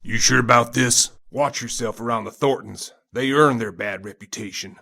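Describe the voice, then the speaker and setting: A man's voice: mid-range voice, normal speed, creaky, sharp tone. An actor playing a wary local man who gives ominous, cautioning warnings in a video game.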